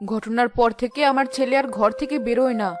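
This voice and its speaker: A woman's voice: on the high side, normal speed, music in the background, close to an everyday voice. A woman playing an anxious, loving village mother in a horror cartoon, worried and pleading.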